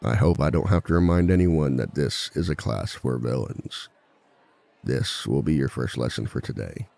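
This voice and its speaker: A man plays a villain seminar instructor with an extremely low, heavily creaky male voice. He is composed and dryly authoritative, polite as he addresses a class in an ASMR roleplay. The tone is dark and mellow. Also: normal speed, no echo, a faint crowd. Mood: stern, dry. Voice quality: very deep, gravelly. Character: composed, dry-witted male villain teaching a relationship seminar. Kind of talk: scripted ASMR roleplay monologue.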